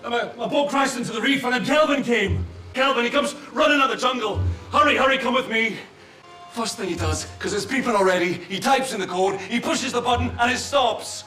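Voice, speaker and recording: An actor playing a weary, eccentric castaway who lives alone in a bunker, in a TV drama. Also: male voice, very high voice, brisk, bright, forceful tone. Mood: rambling, wistful. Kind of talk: TV drama acting. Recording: roomy, distant TV audio with music.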